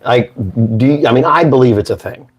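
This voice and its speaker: A hoarse man hosts a casual morning show, rambling and joking in a folksy way. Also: medium pitch, a little fast, faint music behind. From Maine, United States. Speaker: host.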